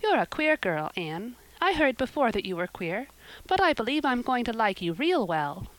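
A woman's voice: fairly high pitch, very animated, moderate pace, dry recording, pitched above the performer's own voice, rough recording. A woman voicing a frank, cheerful young girl who speaks plainly.

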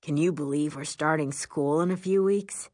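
A woman reading a novel as a relaxed teenage boy, the heroine's sweetheart. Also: low-pitched, moderate pace, no room sound, studio quality, lightly acted. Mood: wistful.

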